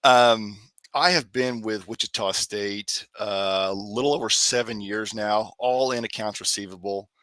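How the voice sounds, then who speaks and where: A man presenting on student billing at an online session, a little hoarse from a cold, explaining in a relaxed way with many fillers. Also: on the low side, unhurried, no room sound. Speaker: accounts receivable staff presenter. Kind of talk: webinar presentation.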